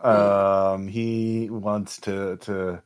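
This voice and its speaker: A man's voice: lowish voice, little pitch movement, extremely slow, smooth. A man acting as game master, narrating a tabletop role-playing game in casual speech.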